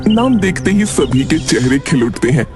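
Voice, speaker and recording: A man's voice: medium pitch, very animated, moderate pace, over music. A man narrating a cartoon story from a script.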